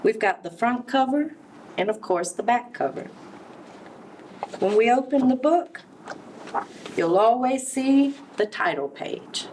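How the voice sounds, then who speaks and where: A woman reading a storybook aloud to young children, expressive, with explanatory asides. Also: on the high side, takes it slowly, long pauses. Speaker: Head Start center director reading to children. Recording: distant mic, clear background noise.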